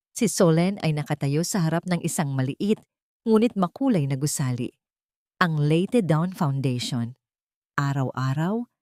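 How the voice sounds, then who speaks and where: A woman's voice: low-pitched, darkish tone, no echo, studio quality. A woman reading a scripted dramatic story as an audiobook narrator.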